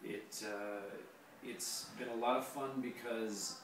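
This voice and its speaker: A hoarse man, a teacher being interviewed, answering casually with many pauses and the odd dry joke. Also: fairly low pitch, fairly even intonation. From Canada. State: hoarse, losing his voice. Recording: very echoey room, distant mic, noisy.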